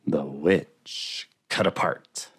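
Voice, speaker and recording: A man voicing a sinister husband in a horror story, solemnly intoning in a drawn-out, menacing way. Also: very deep voice, slightly rough, heavily acted. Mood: solemn, eerie.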